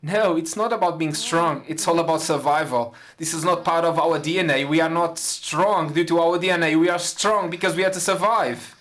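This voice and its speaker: A woman's voice: lowish voice, moderate pace, hardly any pauses. Earnest woman. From Portugal. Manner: earnest, emphatic, repeats words for stress. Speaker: playwright on a panel. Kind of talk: conference panel discussion.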